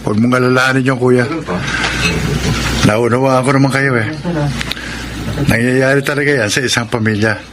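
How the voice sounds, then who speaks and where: A man's voice: mid-range voice, steady tone, normal speed, pauses often, very noisy, natural voice. A man acting an earnest, apologetic husband in a Filipino radio drama, speaking a little hesitantly. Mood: reassuring. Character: Ramil, earnest apologetic husband and loyal younger brother.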